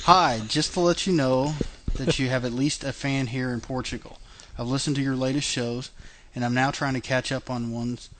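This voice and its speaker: A man's voice: medium pitch, steady tone, normal speed, a bit bright, a little hiss or hum, poor audio. Chatty male podcast host. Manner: chatty, laughs often, many fillers and restarts. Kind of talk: casual chat.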